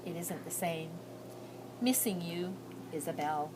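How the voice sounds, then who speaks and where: A woman reading a children's picture book aloud as storytelling, with expressive phrasing and softly trailing sentence endings. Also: fairly low pitch, measured pace, background noise.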